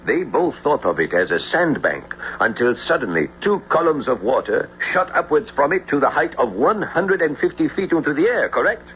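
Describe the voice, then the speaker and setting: An actor playing a curious, excitable French professor of marine biology in a radio drama, courteous and musing. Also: male voice, fairly high pitch, normal speed, hardly any pauses. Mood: musing, sceptical. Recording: dull, noisy vintage-sounding radio drama.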